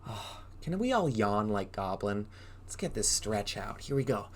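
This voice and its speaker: A man reading a storybook aloud as a preschool drama teacher, in an exaggerated, animated way, prompting young listeners with questions. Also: on the low side, a little slow, a little hiss or hum. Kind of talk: interactive children's read-aloud.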